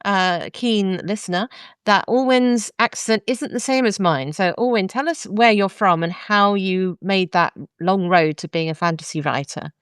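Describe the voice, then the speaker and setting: A woman hosting a podcast interview, asking measured, thoughtful questions. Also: medium pitch, clear, resonant tone, dry recording, studio quality. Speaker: podcast host.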